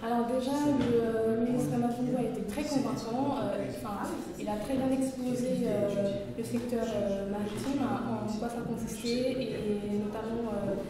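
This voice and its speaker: A woman's voice: a little high, steady tone, normal speed, hardly any pauses. A woman in her twenties, a law student, answering interview questions hesitantly with many fillers, in a large reception room. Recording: echoey hall, far mic, loud crowd.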